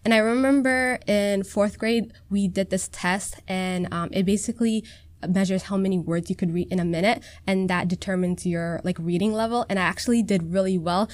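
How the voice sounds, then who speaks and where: A woman in her twenties from Minnesota, a podcast guest talking in a relaxed, hesitant way with many "um"s and "like"s. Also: medium pitch, moderate pace, no room sound. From Minnesota, USA. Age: 20s. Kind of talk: casual chat.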